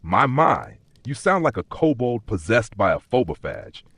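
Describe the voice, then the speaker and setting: A mischievous male keeper of a magical pub, acted by a man, for a dark fantasy audio story. He teases in cryptic wordplay with very animated pitch. The voice is husky and recorded close and dry. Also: a little slow, no echo, full character voice. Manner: teasing, riddling, playful wordplay.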